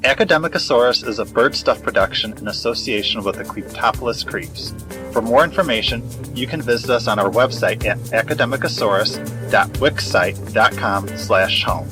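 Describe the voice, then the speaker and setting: A man's voice: mid-range voice, moderate pace. A man announcer reading a scripted podcast outro. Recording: music loud behind voice.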